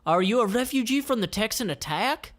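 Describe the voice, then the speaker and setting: A man's voice: high-pitched, lively intonation, fairly quick, fairly bright tone, pitched above the performer's own voice. A man voicing a friendly, eager young woman from a band in a comic read aloud.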